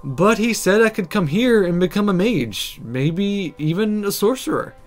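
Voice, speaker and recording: A man's voice: high voice, animated, measured pace, faint music behind, voice pushed up. A man reading the part of an earnest, stubborn young would-be sorcerer, hesitant but defiant.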